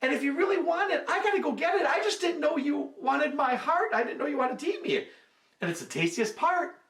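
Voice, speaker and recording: A giddy young monkey. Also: male voice, extremely high pitch, lively intonation, fairly quick, fairly bright tone, voice pushed up. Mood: coaxing. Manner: shrieking, giddy, breathless. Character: frantic chattering young male monkey, excitable. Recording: roomy, from a distance.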